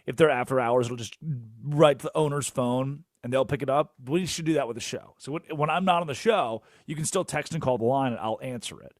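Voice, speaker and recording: A young man hosting a sports radio show, animated and jokey, rambling through asides in a studio. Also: medium pitch, fairly quick, few pauses, no echo. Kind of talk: energetic sports talk radio. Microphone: studio microphone.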